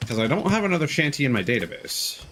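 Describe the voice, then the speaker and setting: A man's voice: fairly high pitch, sing-song, fast talker, fairly bright tone, a little hiss or hum. A man live-streaming a video game, giving casual commentary and thinking aloud in short, unscripted remarks.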